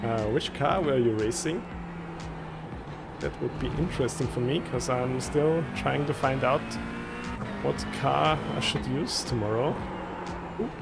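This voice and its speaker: A man with a strong Austrian German accent chats with his stream viewers between laps, easygoing and often laughing. His speech is slow and unhurried at a middle pitch. Loud music plays underneath him the whole time. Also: vocal fry. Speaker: sim racing streamer. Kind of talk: casual live-stream chat while driving. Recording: loud music playing behind.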